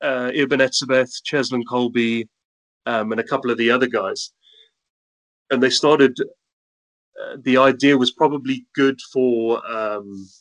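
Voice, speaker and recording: A man's voice: mid-range voice, fairly even intonation, measured pace, pauses often, fairly bright tone, low-quality recording. Cheerful South African man telling stories. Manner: cheerful, anecdotal storytelling. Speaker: guest, content creator. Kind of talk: casual interview chat.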